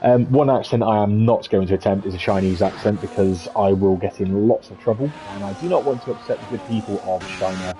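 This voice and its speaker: Male esports race commentator: mellow, relaxed voice, chatty and upbeat, faint hiss behind. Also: fairly low pitch, fairly quick, few pauses. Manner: chatty, upbeat, playful asides.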